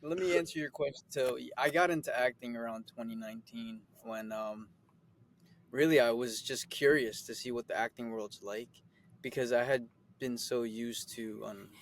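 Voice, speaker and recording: A man's voice: medium pitch, moderate pace. A man in his twenties from the Philippines, a guest actor giving easygoing, rambling interview answers with many fillers.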